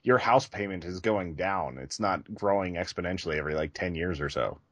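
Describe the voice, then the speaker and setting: A man with a low, deep voice banters casually as a podcast co-host. His remarks are wry, and the sound is close and dry with no room on it. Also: fairly quick, fairly bright tone, no echo.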